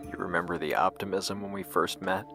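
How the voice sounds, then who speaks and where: A man playing a grave scientist in scripted audio drama dialogue, speaking deliberately with hesitant pauses. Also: low voice, flat delivery. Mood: grave, confessional. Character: grave, secretive male scientist and his questioning double. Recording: music under the voice. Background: music.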